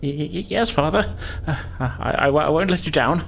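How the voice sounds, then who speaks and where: A man's voice: a little high, moderate pace, creaky, slight background noise. A man voicing a timid, eager-to-please son, stammering and apologetic, in a tabletop game. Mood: nervous, earnest.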